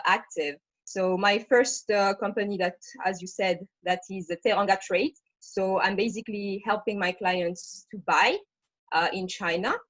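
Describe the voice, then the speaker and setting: A woman's voice: mid-range voice, fairly bright tone, no echo. A woman from Senegal speaking as a panelist in a webinar, talking spontaneously with hesitations and fillers. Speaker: webinar panelist, managing director. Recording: dull, dry video-call audio.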